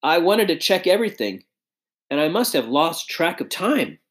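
A man's voice: on the high side, very animated, normal speed, a bit bright, voice pushed up. A man voicing a shy, earnest young inventor who speaks modestly.

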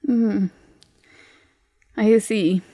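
A woman voicing a bemused, polite older brother in a tabletop roleplay, with a measured, hesitant, deadpan manner. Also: mid-range voice, no echo, pitched below the performer's own voice. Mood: skeptical.

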